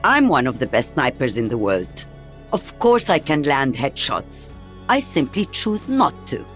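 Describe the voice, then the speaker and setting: Casting: a seasoned elderly female soldier for a game; dry wit, world-weary, highly varied intonation, light music underneath. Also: sing-song, a little slow, exaggerated voice. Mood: wry. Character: elderly veteran female sniper, wry, weary, maternal. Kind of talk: video game character voice lines.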